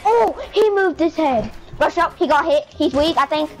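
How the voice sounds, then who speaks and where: High-pitched young squeaker gamer. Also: lively intonation, normal speed. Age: child or young teen. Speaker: player in an online squad match. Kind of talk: casual in-game chatter.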